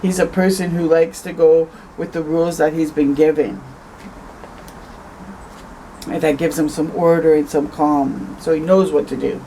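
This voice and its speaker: A woman reading a picture book aloud to children as a storytime host, expressive, with conversational asides. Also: low-pitched, normal speed, a little hiss or hum, rough recording. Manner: conversational asides, tags questions with "eh".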